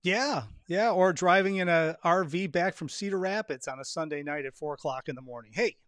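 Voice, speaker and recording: Higher-voiced man telling casual anecdotes. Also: brisk, a bit bright, no room sound, studio quality. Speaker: podcast host.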